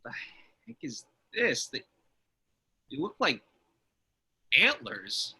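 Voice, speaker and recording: An anxious male delivery driver, acted by a man at a table read, whiny and easily panicked. The voice is high, piercingly bright and rough-edged, and he gets exasperated fast. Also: wildly swooping pitch, exaggerated voice. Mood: panicked, upset. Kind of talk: comic screenplay table read.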